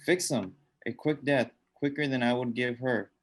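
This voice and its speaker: A man's voice: mid-range voice, moderate pace, close to an everyday voice. An actor playing a sinister undead old man in a radio drama, coaxing and menacing with insistent, repeated commands. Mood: coaxing, commanding.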